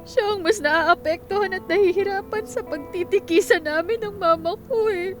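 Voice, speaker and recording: An actress with a Filipino accent playing a bold, emotional young daughter in a radio drama. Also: female voice, extremely high pitch, moderate pace, music in the background. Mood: hurt, resentful.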